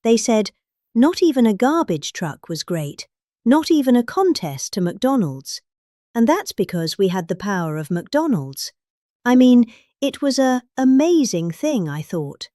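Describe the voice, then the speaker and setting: A woman's voice: a little high, very animated, measured pace, dark tone, no echo, clean studio sound. A middle-aged woman with a British accent, giving a comic dramatic reading of a rambling speech with exaggerated emphasis. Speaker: reader of a speech.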